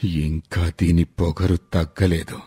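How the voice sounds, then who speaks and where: A man voicing a gruff, scolding old hunchback in an animated moral story. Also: deep voice, exaggerated voice. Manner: scolding, commanding, slow deliberate delivery.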